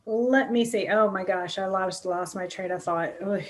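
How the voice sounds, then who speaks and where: A woman's voice: medium pitch, normal speed, a little hiss or hum. A middle-aged woman hosting a podcast, chatting informally and agreeably in a casual interview. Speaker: podcast host interviewing a guest.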